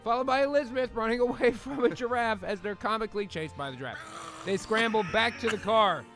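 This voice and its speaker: A man with a very high voice narrates a comic radio drama. He reads stage directions loosely and with amusement, as at a live table read, over background music. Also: brisk.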